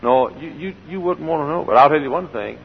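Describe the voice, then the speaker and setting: An older male pastor preaches in a gravelly, husky voice. He hollers, then drops to quiet storytelling, and he repeats his phrases to drive the point home. The old recording sounds dull, with long reverb in a church sanctuary. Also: a little high, expressive, brisk. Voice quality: gravelly, froggy voice. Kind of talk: sermon.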